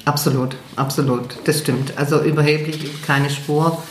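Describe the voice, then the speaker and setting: A woman's voice: low voice, fairly even intonation, normal speed, a little hiss or hum. A German woman singer, chatting in an interview, hesitant and self-deprecating with many fillers. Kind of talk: casual interview chat. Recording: echoey room.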